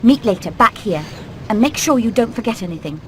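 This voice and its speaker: A British woman playing a determined, plucky hen in an animated video game, speaking in a brisk, earnest, rallying way. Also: fairly high pitch, a little hiss or hum. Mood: firm, businesslike. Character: determined, plucky female hen leading an escape plan.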